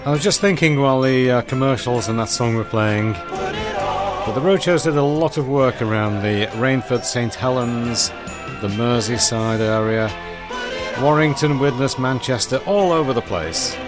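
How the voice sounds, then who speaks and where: A man's voice: medium pitch, measured pace. Older male radio DJ, chatty and reminiscing, talking over music. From northwest England. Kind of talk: radio show presenting. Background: music under the talk.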